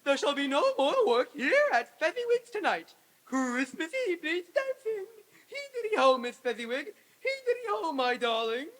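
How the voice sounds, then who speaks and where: A woman's voice: high-pitched, sing-song, normal speed, a bit bright. A woman playing a jolly, boisterous old merchant, exuberant and whooping in a radio-play reading.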